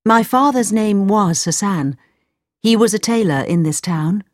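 A woman voicing an eager, trusting teenage boy in a storybook, polite and animated. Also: mid-range voice, a little slow, dry recording, clean studio sound, pitched above the performer's own voice, lightly acted.

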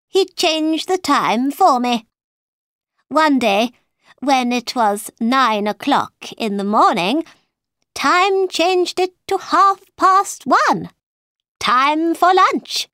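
A woman's voice: high-pitched, animated, drawn-out words, a bit bright, no echo, clean studio sound, voice pushed up, full character voice. A woman voicing an eccentric, irritable man in a children's storybook, blunt and emphatic. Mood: emphatic, storytelling. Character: Mad Hatter, eccentric irritable man at tea party.

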